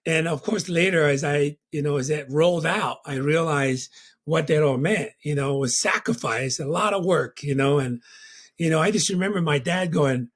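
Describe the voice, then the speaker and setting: A middle-aged man from Tonga telling his life story as an interview guest, reflective and warm, with a constant "you know.". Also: on the high side, moderate pace.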